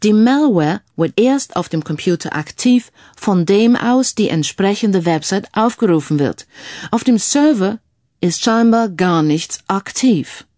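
A woman voicing a calm male IT security expert who explains things in a mildly schoolmasterly way, mixing English phrases into the talk. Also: medium pitch, unhurried, no room sound, clean studio sound, lightly acted. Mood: mildly lecturing. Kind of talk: audiobook character dialogue.

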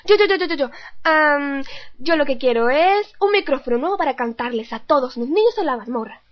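A woman's voice: high voice, lively intonation, brisk, lo-fi sound. A woman playing a perky, cheerful singing school prefect in an amateur radio play, bubbly and eager. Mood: excited.

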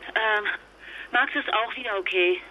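An actress playing a fragile, exhausted wife and mother stranded abroad, speaking haltingly in a naturalistic radio drama through a telephone filter. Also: mid-range voice. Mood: flustered, hurried. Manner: halting, stammering, emotionally fragile. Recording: noisy muffled phone-line sound.

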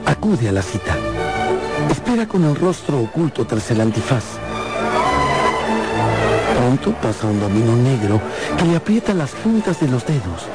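A man's voice: medium pitch, big pitch swings, moderate pace, long pauses. A man narrating a radio drama from a script in a theatrical storytelling manner. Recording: loud music behind the narration. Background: loud music.

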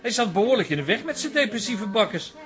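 Radio drama: brash, money-minded trader, high male voice, blunt colloquial boasting. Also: sing-song, a little fast, a bit bright, faint music behind. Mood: breezy, grumbling. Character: brash, cheerfully mercenary small businessman at the bar. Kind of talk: Dutch radio drama acting.

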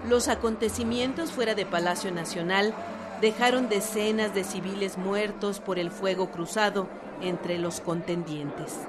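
A woman's voice: medium pitch, measured pace, heavy background noise. A woman reading scripted historical narration for a radio production. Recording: music behind the narration.

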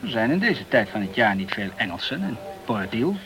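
A man's voice: fairly low pitch, big pitch swings, a little fast, a bit bright, rough recording. A man playing a polite, chatty journalist, peppering his talk with words, in a radio play. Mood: sociable, courteous. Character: polite, talkative French journalist in the tropical West Indies. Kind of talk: Dutch radio play acting.

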